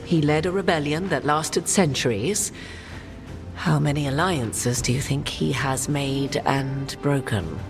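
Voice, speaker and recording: A very deep-voiced woman as a grave, knowing game sorceress. Her delivery is formal and measured, and it rings in a long hall reverb with music playing clearly behind.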